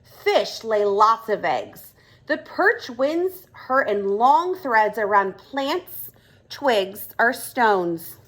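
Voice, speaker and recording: A woman reading a children's storybook aloud like a kindergarten teacher, expressive and deliberate. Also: fairly high pitch.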